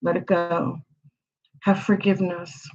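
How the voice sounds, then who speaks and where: A woman's voice: lowish voice, little pitch movement, unhurried, a little mellow. A woman hosting an astrology channel, giving a casual, rambling forecast full of rhetorical questions. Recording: slightly dull lo-fi audio.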